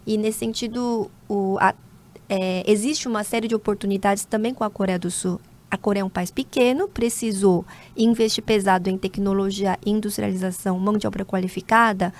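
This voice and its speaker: A woman in her forties, born in South Korea, answers interview questions in an unhurried, considered way. She pauses often with small hesitations as she gathers her thoughts, speaking like a corporate lawyer. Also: mid-range voice, steady tone, darkish tone. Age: 40s. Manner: measured, with frequent hesitation fillers. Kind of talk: conversational interview.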